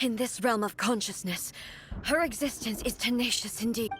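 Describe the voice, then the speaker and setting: An actress playing a proud, stern warrior ruler with godlike power in a video game, speaking in a solemn, imperious, formal manner. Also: female voice, a little high, moderate pace, slight background noise, natural voice. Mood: grave, resolute. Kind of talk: dramatic video game voice acting. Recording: loud music under the voice. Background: loud game music.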